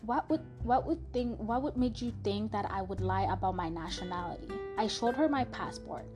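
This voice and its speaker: A young woman whose first language is French tells a personal story in a loose, chatty way, full of fillers and restarts. She speaks with a light French accent at a middle pitch. Music plays clearly underneath her. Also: expressive, normal speed, darkish tone. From Canada (Montreal). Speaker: podcast host.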